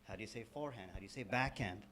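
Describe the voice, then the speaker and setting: A man in the audience enthusiastically sharing his language-learning experience, sometimes dropping to quiet asides. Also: lowish voice, very fast, a little hiss or hum. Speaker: audience member, language program founder. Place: event hall.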